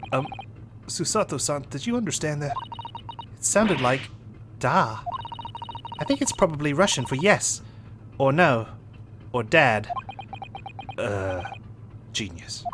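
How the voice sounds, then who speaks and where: Lively English-accented gaming host. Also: male voice, medium pitch, slow, pauses often. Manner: playful, joking asides. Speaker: let's play host. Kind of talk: casual game commentary, reading dialogue aloud. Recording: noisy background ambience.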